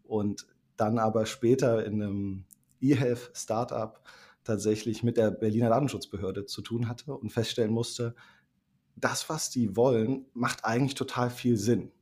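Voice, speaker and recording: Husky, dark-voiced German man. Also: mid-range voice, a little slow. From Thuringia, Germany. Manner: relaxed, explanatory, many fillers and restarts. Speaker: startup managing director, guest. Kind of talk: conversational podcast interview.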